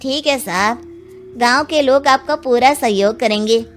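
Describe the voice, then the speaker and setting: Woman as a high-pitched, frightened villager. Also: unhurried, soft background music, natural voice. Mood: fearful. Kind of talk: Hindi animated moral story dialogue.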